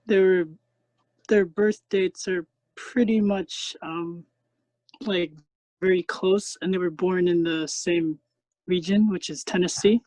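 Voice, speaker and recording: A young man in the audience, a student, answering a question in an unsure, halting way. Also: high voice, steady tone, darkish tone, no echo, low-quality recording. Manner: unsure, pauses mid-answer.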